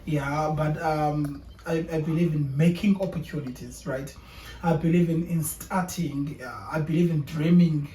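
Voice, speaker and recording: A man's voice: on the high side, fairly even intonation, a little slow, warm tone. A young man from South Africa, a guest entrepreneur in a casual interview, speaking hesitantly and often repeating words as he thinks. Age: young adult. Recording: echoey room, distant mic.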